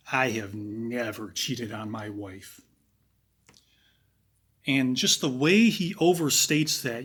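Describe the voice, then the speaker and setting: A man with a raspy voice giving analytical true crime commentary, talking casually with pauses and fillers. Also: mid-range voice, a bit bright.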